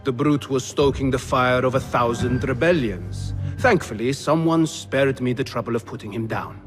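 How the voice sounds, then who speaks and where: An actor playing a shrewd, proud middle-aged male governor in a video game, deliberate, dry and haughty. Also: medium pitch, natural voice. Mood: dry, self-justifying. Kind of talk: cinematic video game acting. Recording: music behind the voice. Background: music.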